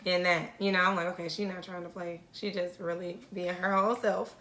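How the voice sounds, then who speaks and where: A woman co-hosting a podcast, telling her side of the story in casual chat, laughing often and dropping softer when she reflects. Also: on the low side, little pitch movement, brisk. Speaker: podcast co-host. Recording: roomy echo.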